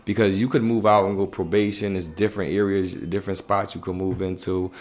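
A man's voice: low voice, steady tone, a little fast. A man in his thirties from Connecticut being interviewed, talking casually in colloquial anecdotes with frequent check-in phrases. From Bridgeport, Connecticut. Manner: colloquial, anecdotal, frequent 'you know what I'm saying'. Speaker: basketball coach and youth facility worker being interviewed. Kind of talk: casual podcast conversation. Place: small indoor room. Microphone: desktop condenser microphone.

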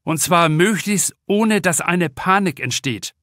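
A man's voice: sits high, lively intonation, slow pace, sharp tone, no echo, clean studio sound, pitched above the performer's own voice, full character voice. A man voicing an urgent, blunt young male lifeguard in an audiobook. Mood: alarmed. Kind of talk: audiobook character dialogue.

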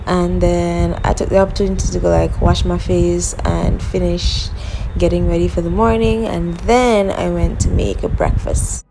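Chatty young woman with a gravelly voice. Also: on the low side, normal speed, low-quality recording. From Jamaica, raised in the Bahamas. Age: 20s. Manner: chatty, easygoing. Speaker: vlogger. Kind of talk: casual vlog voice-over.

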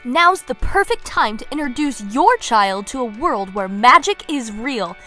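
Female commercial VO, higher-pitched, bright, polished promotional read. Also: lively intonation, unhurried, faint music behind. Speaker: voice-over artist. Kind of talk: scripted commercial voice-over demo.

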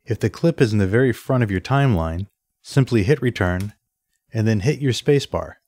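A man presenting an instructional software screencast in a methodical, step-by-step way. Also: fairly low pitch, expressive, a little fast. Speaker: tutorial presenter.

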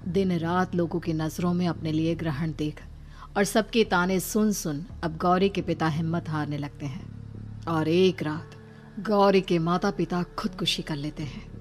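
A woman's voice: on the low side, fairly even intonation, unhurried, darkish tone. A woman narrating a scripted horror story.

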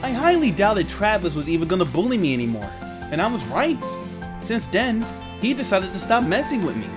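A man's voice: high-pitched, big pitch swings, brisk. A man playing an earnest, wimpy teen boy superhero who narrates and talks in a comic dub. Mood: reflective. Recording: music behind the voice.

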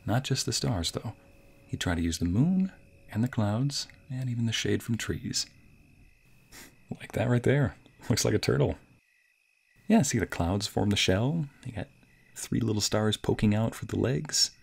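A man acting a sweet, bashful young waiter on a romantic date in an ASMR roleplay, with a soft, breathy, playful and hesitant delivery. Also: on the low side, lively intonation, unhurried, exaggerated voice. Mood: warm, bashful. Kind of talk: ASMR roleplay, intimate scripted boyfriend monologue.

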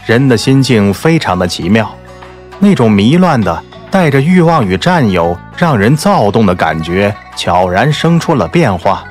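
Guy narrating a romance audiobook, really expressive, soft music under it. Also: mid-range voice. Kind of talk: scripted dramatic narration of a romance novel.

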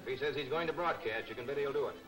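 Fast-talking, determined 1940s police detective played by a man. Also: mid-range voice, fairly bright tone, natural voice, poor audio. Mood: firm. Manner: brisk, clipped. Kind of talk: 1940s film serial acting. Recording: old 1940s film soundtrack, music behind.

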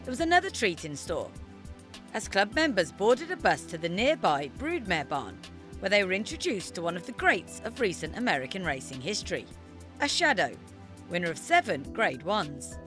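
A woman reporter narrates a television feature with a lively, swooping delivery. She sounds like a presenter in her thirties, with light music underneath. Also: lowish voice, normal speed.

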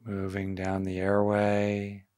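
A man leading a guided meditation as a teacher, speaking gently and softly in short phrases with long pauses. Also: fairly low pitch, monotone, no echo.

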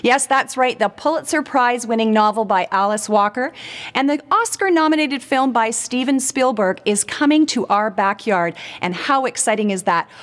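A woman's voice: fairly high pitch, animated, moderate pace. A middle-aged woman hosting an upbeat promotional interview, with a polished, enthusiastic delivery. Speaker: host.

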